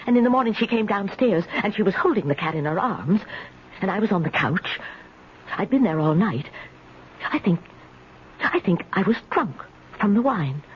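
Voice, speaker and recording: A woman's voice: medium pitch, lightly acted. An actress playing a frightened, lonely, confused woman who stammers and pleads in a 1970s radio drama. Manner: halting, stammering, tremulous pleading. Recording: dull, noisy 1970s radio recording.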